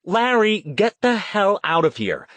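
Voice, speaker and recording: A man voicing a fed-up, defiant female TV director in an audiobook, with sharp, forceful retorts. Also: sits high, big pitch swings, unhurried, no echo, voice pushed up, heavily acted. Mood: angry.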